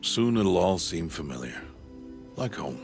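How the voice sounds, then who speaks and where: An actor playing a stoic older outcast hunter, a gruff but tender fatherly mentor who speaks gravely and with restraint in a video game. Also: deep voice, big pitch swings, measured pace, over music, full character voice. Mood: calm, reassuring. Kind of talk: dramatic video game acting.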